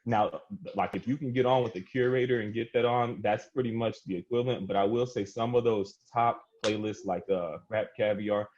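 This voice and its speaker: Male podcast host, low register, conversational and sweary, leading an informal panel on a muffled lo-fi recording. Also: fairly even intonation, a little fast, darkish tone. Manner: profane, slangy, conversational. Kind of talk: casual podcast round-table discussion of music.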